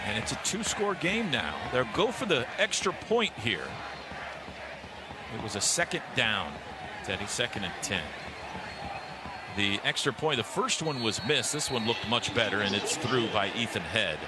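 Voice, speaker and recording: A man's voice: mid-range voice, very animated, moderate pace. An American man calling live football play-by-play for radio in clipped, punchy phrases. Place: outdoor football stadium. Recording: clearly noisy outdoor stadium broadcast.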